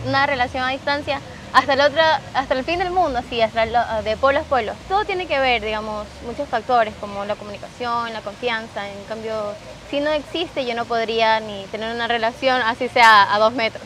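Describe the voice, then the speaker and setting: A woman's voice: fairly high pitch, fairly quick, slight background noise, low-quality recording. Emphatic woman talking on a street. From Ecuador. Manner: emphatic, expressive. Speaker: interviewee. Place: outdoors on a street.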